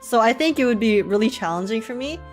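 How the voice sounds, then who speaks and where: A woman's voice: a little high, lively intonation, quick pace, a little mellow. A woman livestreaming a game in an informal, giggly manner, chatting casually and reading text aloud. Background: game music.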